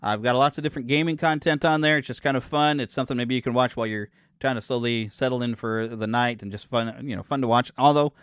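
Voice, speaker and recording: A man's voice: medium pitch, fairly even intonation, fast, few pauses, a bit bright, clear, resonant tone, dry recording, clean studio sound. A man in his forties hosting his own podcast in a rambling, chatty way, often correcting himself and making jokes at his own expense. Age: 40s. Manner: self-deprecating and joking. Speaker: podcast host. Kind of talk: casual solo podcast chat.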